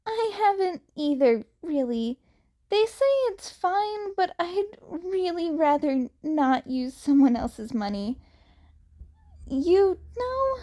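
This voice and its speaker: A woman's voice: high voice, warm tone, voice pushed up, heavily acted. A woman voicing a cheerful, nervous, chatty teenage boy hero student who rambles hesitantly, in a fan fiction audiobook. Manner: hesitant, rambling, eager to befriend.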